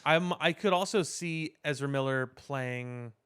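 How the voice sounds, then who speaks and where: A man's voice: medium pitch, a little slow, a bit bright, clean tone. A man co-hosting a podcast, explaining his opinions in casual, opinionated talk about superhero films. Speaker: podcast co-host.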